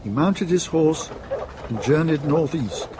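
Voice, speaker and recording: A man narrating a radio drama in a measured, deliberate storytelling manner. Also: fairly high pitch, expressive, noisy background.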